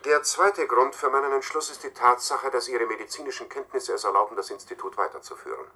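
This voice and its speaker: An actor playing a cool, domineering scientist in a science-fiction radio play, delivering a formal, measured, matter-of-fact message. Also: male voice, mid-range voice. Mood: coolly formal. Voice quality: husky, sharp-edged. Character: cool, domineering male scientist leaving recorded instructions to successor.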